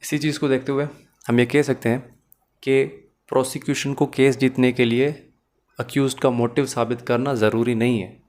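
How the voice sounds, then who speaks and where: A man's voice: medium pitch, darkish tone. A man with a Pakistani accent lecturing as a law teacher, mixing English into his speech and explaining points step by step in a didactic way. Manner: code-switches between Urdu-Hindi and English.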